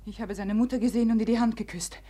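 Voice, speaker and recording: A proud Russian society woman, played by an actress, speaks in a high voice. She is imperious and mocking, with fiery theatrical delivery in the manner of 1950s radio drama. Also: steady tone, very fast, warm tone, natural voice. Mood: bitter, scornful. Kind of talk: 1950s German radio play acting.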